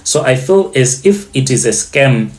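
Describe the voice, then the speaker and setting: Male narrator for first-person dramatic tales, medium pitch, deliberate and theatrical, leaning on repetition for effect. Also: expressive. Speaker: storyteller.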